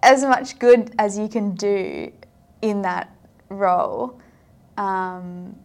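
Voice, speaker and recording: A woman's voice: mid-range voice, lively intonation, slow, creaky, a little mellow. A young woman from South Africa, chatting casually as a podcast guest and filling her talk with "like". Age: young adult. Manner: chatty, filler-heavy, frequent "like". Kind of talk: casual interview chat.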